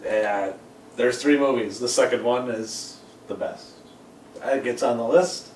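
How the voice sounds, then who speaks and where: Distant and echoey, with faint noise behind him, a man of average pitch chats casually as a co-host. He is blunt and sarcastic, playfully boasting as he goes. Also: a little slow, a little mellow. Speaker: podcast co-host. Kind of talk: casual group chat. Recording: echoey room, distant microphone, background noise.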